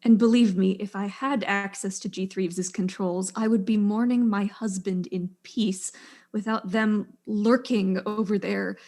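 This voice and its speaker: A proud, weary widow and engineer, played by a woman in a mystery drama. Her voice sits at a medium pitch, and she speaks in curt, articulate sentences with dry exasperation. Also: steady tone, unhurried, a little mellow, close to an everyday voice. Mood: bitter.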